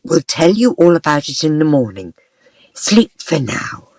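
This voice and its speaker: Woman as a coaxing, low-voiced mother. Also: sing-song, a little slow, dry recording, full character voice. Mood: soothing. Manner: coaxing, gentle. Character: soothing, protective mother of a young woman. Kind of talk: audio drama acting.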